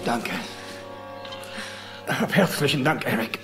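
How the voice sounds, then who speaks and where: A male actor playing a calm, kindly middle-aged paleontologist in a film dub, speaking in a measured, quietly dry way. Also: medium pitch, over loud music. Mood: calm, reassuring. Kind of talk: German film dubbing dialogue.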